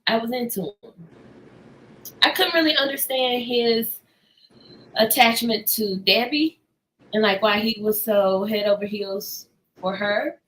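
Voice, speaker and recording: A woman's voice: on the high side, a bit bright, low-quality recording. An American woman co-hosting a book discussion in casual conversation, with informal, slangy phrasing. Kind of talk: casual chat. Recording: noticeable background noise.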